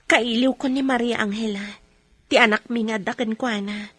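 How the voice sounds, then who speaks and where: A woman with an Ilocano accent playing a lovelorn, wistful young mother in a radio drama, her voice husky and earnest. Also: a little high, fairly even intonation, normal speed, fairly bright tone, dry recording. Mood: wistful, longing. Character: lovelorn young mother longing for lover and daughter.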